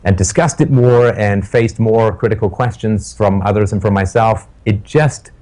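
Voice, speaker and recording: Low-voiced middle-aged man, vivid storyteller. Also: moderate pace, dark tone. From born in Ireland, raised in England and Canada. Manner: vivid, colorful phrasing with wild metaphors. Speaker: interview guest. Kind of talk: storytelling interview answer.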